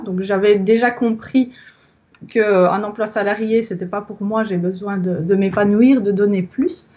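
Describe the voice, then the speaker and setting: A woman's voice: medium pitch, a little mellow, muffled recording. A woman in her thirties from Belgium, giving a reflective interview testimonial and searching for her words as she speaks. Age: 30s. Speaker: interviewee, yoga teacher. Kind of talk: recorded testimonial conversation.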